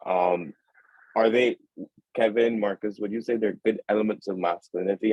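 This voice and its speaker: A man moderating a webinar panel, friendly and chatty, telling a quick anecdote before inviting the next speaker. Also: sits low, darkish tone, husky, dry recording, dull audio. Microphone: video call.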